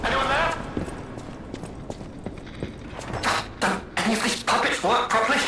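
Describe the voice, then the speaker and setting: An actor playing a vain, charming male alchemist in a video game, florid, flattering and theatrical as he speaks through puppets. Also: very high voice, measured pace, exaggerated voice. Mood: exasperated, pleading. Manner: florid, flattering, self-pitying and playful. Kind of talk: dramatic video game dialogue. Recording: very echoey, with loud background noise.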